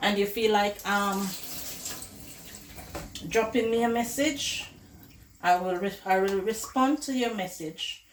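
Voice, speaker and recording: A woman with a hoarse, flu-worn voice, chatting casually to viewers as she cooks in her home kitchen. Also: medium pitch, steady tone, takes it slowly, pauses often, slight background noise. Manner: chatty, addresses viewers as guys. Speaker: cooking vlogger host. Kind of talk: casual cooking tutorial chat. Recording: echoey kitchen with loud clatter. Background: pots, dishes and running water.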